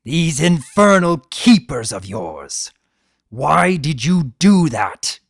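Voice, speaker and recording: An urbane spirit of chaos from a fantasy story, acted by a man, swinging widely in pitch. He is sullen and volatile, drawing words out slowly with theatrical emphasis. The sound is dry and close. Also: big pitch swings, creaky, no echo, heavily acted. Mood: enraged. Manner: theatrical, drawn-out, emphatic delivery. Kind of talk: dramatic fan fiction reading.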